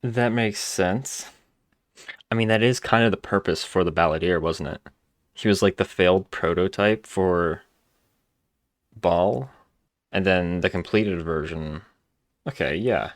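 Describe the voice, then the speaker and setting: A man's voice: low voice, unhurried, pauses often, dry recording, clean studio sound. A man doing casual game commentary, informally musing aloud as he plays. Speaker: gaming video host. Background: faint game music.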